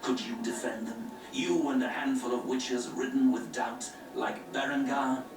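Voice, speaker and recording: A man's voice: mid-range voice, little pitch movement, unhurried, natural voice. A man voicing a grave male grand master of an order with a solemn, lofty, sermonizing manner, heard from a video game. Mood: persuasive. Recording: game audio from far off in an echoey room, with music.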